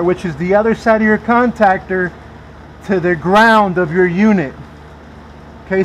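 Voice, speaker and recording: A man working as an HVAC technician, explaining a repair step by step and checking in with "okay?" after each point, recorded on a body-worn camera in an attic and then in a backyard. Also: a little hiss or hum. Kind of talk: hands-on repair tutorial. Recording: dull, dry, low-fidelity sound.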